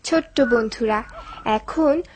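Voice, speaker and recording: A woman's voice: a little high, slow pace. A woman reading scripted narration of a story for young children.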